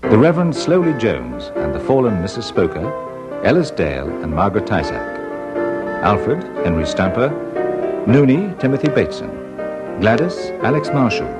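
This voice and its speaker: Casting: male credits announcer, deep-leaning voice with animated delivery over prominent music. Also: moderate pace. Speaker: closing announcer. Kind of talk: scripted cast credits. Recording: loud music behind the voice.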